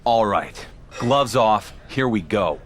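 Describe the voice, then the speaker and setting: An actor playing a proud, sarcastic wrestling champion with a dry manner in a video game cutscene. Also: male voice, on the low side, expressive, slow pace. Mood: defiant. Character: wry, proud male pro wrestling champion.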